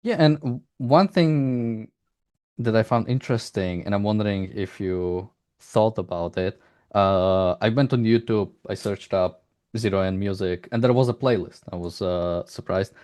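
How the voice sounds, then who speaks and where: A man interviewing a developer in a conversational style, with halting, hesitant phrasing. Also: on the low side, a little mellow.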